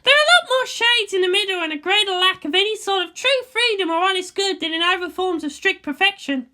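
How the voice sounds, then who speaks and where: A woman's voice: extremely high pitch, quick pace, bright, forceful tone, voice pushed up. A woman voicing a headstrong, argumentative girl with fierce conviction and an emphatic delivery, in a fan fiction reading. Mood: defiant. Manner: emphatic, declamatory. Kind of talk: fan fiction audiobook character voice.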